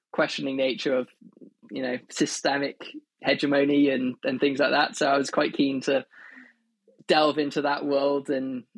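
A young man from Wales, telling his story as a podcast guest in a relaxed, wordy way. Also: mid-range voice, husky, no echo. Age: young adult. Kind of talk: casual interview storytelling.

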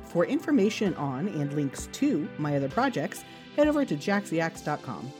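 A woman's voice: low voice, very animated, moderate pace. A woman reading scripted closing credits for a podcast as its producer. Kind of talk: scripted narration. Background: faint music.

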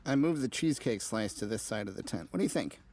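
An actor playing an earnest, hapless but hopeful low-level ad man in an audio drama, plain-spoken and hesitant. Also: expressive, quick pace, a little mellow. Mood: earnest, stubborn. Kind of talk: naturalistic audio drama acting.

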